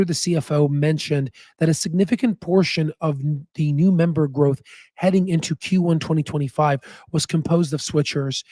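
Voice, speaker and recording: A man who hosts a stock market channel, hoarse with his voice nearly gone, talking in a casual rambling way with many fillers and restarts. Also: fairly high pitch, mellow, no room sound. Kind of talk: casual chat and stock analysis.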